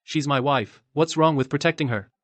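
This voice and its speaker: A man's voice: fairly high pitch, moderate pace, a bit bright, no room sound, lightly acted. A man playing a defiant younger brother protective of his wife in a dubbed family radio drama. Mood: defiant, angry.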